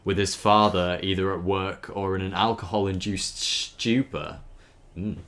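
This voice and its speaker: A man's voice: deep voice, fairly even intonation, a little slow, fairly bright tone. A man giving an informal dramatic reading of a story, stumbling now and then and adding chatty asides. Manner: stumbles over words and breaks off to comment. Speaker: host reading a backstory aloud.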